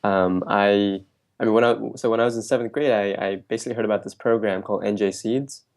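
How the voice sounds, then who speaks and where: A young man with a fairly low voice answers interview questions. He talks loosely and casually, with many ums and restarts. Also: fast talker. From Bangladesh, raised in New Jersey. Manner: relaxed, with frequent fillers and restarts. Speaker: guest.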